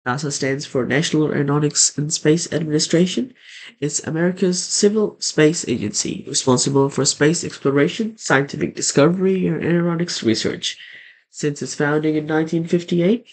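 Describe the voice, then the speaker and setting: Male tech channel host for a website walkthrough narration; fairly high voice, noticeable vocal fry. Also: rough recording. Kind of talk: scripted screen-tour narration.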